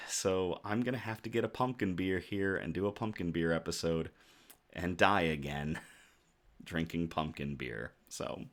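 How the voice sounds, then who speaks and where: A man hosting a casual livestream, chatting to viewers in a jovial, effusive way, his voice slightly rough from shouting. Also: fairly low pitch. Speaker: host of a casual news and beer livestream.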